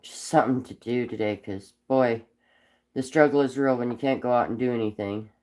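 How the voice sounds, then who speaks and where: A man with a cold and a raspy voice, chatting casually on a live stream while whittling wood, rambling with frequent ums. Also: mid-range voice, brisk, darkish tone. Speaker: livestream host whittling wood.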